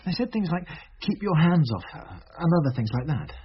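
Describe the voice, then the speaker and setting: An actor with a British accent playing an anxious, reluctant teenage schoolboy in a radio drama, talking haltingly. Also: male voice, a little high, sing-song. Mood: nervous, defensive. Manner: halting, nervous. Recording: muffled, noisy old radio recording.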